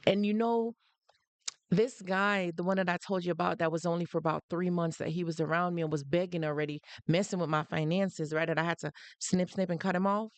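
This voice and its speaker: A woman's voice: fairly low pitch, steady tone, a little fast, no room sound. A woman with a Jamaican accent hosting a casual livestream and speaking directly to her viewers. She is emphatic, repeats her points, and breaks off to greet people who join. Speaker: livestream host.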